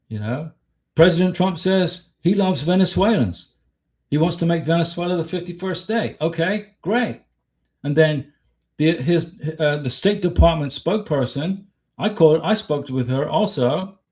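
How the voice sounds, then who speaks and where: A man's voice: a little high, darkish tone. An American man from Florida hosting a casual livestream, talking in a blunt, emphatic, opinionated way with rhetorical questions and replies to chat. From Florida, United States. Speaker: livestream host.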